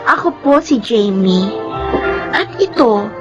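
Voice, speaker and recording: An actress playing an earnest young woman who tells her life story in a radio drama, speaking in a measured, pleading way. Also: fairly high pitch, animated. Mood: earnest, reflective. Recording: muffled band-limited radio drama with music. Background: dramatic background music.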